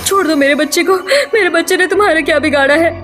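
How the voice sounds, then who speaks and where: Woman voicing a high-pitched emotional mermaid mother. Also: fast talker, fairly bright tone, faint music behind. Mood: pleading, crying. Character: tender, self-sacrificing young mermaid mother. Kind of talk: Hindi cartoon story character voice.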